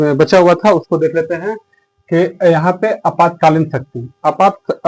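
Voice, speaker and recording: High-pitched male Indian teacher, repeating key phrases. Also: darkish tone, poor audio. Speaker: teacher for competitive exam students. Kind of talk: explanatory slide lecture.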